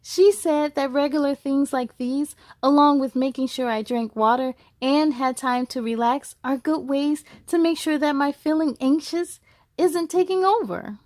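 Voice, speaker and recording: A woman's voice: sits high, steady tone, unhurried, darkish tone, dry recording. A woman hosting a children's read-aloud, speaking encouragingly and directly to young listeners between storybook passages. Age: adult.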